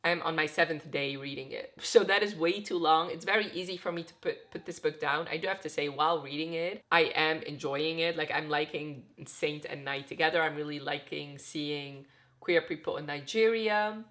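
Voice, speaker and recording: A woman's voice: low-pitched, moderate pace, a bit bright. A young woman chatting casually about a book she is reading, rambling with lots of "like", her voice a bit off because she is getting sick and tired. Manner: rambling, filler-heavy, frequent "like". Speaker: book vlogger.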